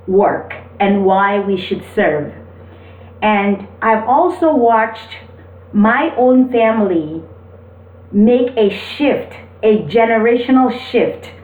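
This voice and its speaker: A woman speaks in a slow, deliberate and encouraging way, mixing motivation with personal stories. Her pitch sits in the middle for a woman. The recording is thin and phone-like, with the mic at a distance. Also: reverberant. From Cameroon. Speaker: motivational video host. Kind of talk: motivational talk with personal storytelling.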